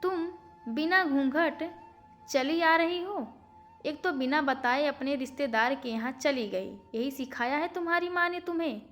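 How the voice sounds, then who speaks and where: A woman's voice: sits high, a little slow, a bit bright, soft background music. Woman acting out a scolding, domineering mother-in-law. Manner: scolding, accusatory. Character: sharp-tempered, domineering, taunting mother-in-law. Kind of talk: audiobook story character.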